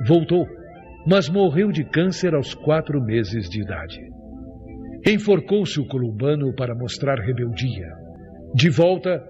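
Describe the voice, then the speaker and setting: A man reciting spiritist verses on a web radio program in a solemn, measured way. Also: medium pitch, darkish tone, in a large hall, dull audio. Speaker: radio announcer.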